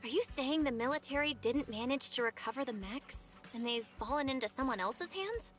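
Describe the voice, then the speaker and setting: A woman's voice: high voice, expressive, brisk, a bit bright, faint music behind. An actress playing an inquisitive, wary female companion in a video game, questioning and skeptical. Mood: puzzled. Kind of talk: video game story voice acting.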